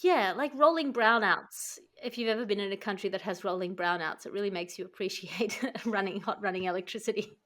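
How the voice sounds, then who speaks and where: A woman's voice: medium pitch, brisk, hardly any pauses, a bit bright. A woman hosting a satirical news podcast, speaking in a wry, wordy, ironic way between script and banter. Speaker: podcast host.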